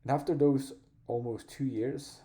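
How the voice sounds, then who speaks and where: A man with a Polish accent, a native Polish speaker, talking reflectively in a personal vlog, slow and thoughtful, pausing to find words. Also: medium pitch, steady tone, warm tone. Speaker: vlogger.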